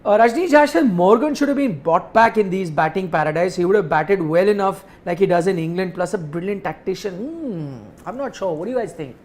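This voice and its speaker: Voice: mid-range voice, fast talker, few pauses, darkish tone. Indian-accented cricket show host chatting live. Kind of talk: live cricket chat, reading viewer comments.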